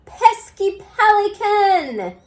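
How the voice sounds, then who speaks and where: A woman plays a put-upon storybook dog, excitable and full of indignation. He barks and howls his complaints in a high voice that leaps up and down, drawing the words out slowly. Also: slight background noise, heavily acted. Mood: alarmed, outraged. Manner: barking, howling exclamations. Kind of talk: children's storybook character voice.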